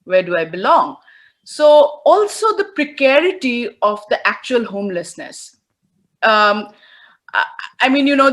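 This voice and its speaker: A woman's voice: mid-range voice, a bit bright. A woman from India speaking as a panelist on a video call, thoughtful and hesitant, with many fillers and restarts.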